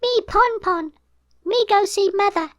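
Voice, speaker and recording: A man voicing a sad little female alien child in a squeaky voice, using simple broken baby talk for an audiobook story. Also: measured pace, a bit bright, no echo, clean studio sound. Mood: sad, innocent.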